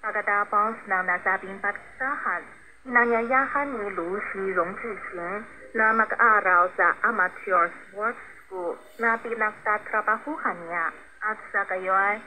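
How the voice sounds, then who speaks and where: A woman presenting a radio program in scripted storytelling narration. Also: medium pitch. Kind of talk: scripted narration of a sports biography. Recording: noisy shortwave radio broadcast.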